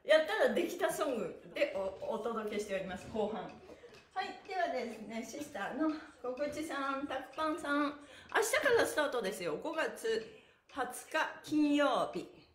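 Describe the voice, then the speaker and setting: A woman's voice: high-pitched, normal speed. A middle-aged woman hosting an upbeat live stretching lesson, encouraging and cheerful as she gives cues and chats to viewers. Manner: animated, cheerful, encouraging, often laughing. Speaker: stretching instructor and pantomime teacher, live-stream host. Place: small bare room with wooden floor, indoors. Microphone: device mic a few steps away. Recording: echoey room, mic at a distance.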